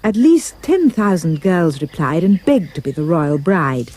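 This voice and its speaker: A woman's voice: fairly low pitch, expressive, unhurried, warm tone, slight background noise. A woman narrating a rhyming storybook tale for a puppet show with dramatic, emphatic delivery.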